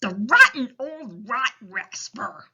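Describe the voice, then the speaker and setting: A woman's voice: high-pitched, huge pitch swings, a little slow, bright, forceful tone, slightly rough, muffled recording, pitched above the performer's own voice, heavily acted. A woman voicing a big friendly male giant from a children's storybook, tender-hearted and speaking in quirky broken grammar. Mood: indignant.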